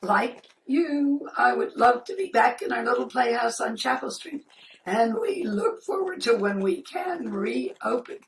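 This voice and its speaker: A woman's voice: fairly high pitch, lively intonation, unhurried, vocal fry. A woman giving a scripted thank-you and appeal to viewers as a theater spokesperson, recorded in a living room. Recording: roomy, distant mic, faint noise.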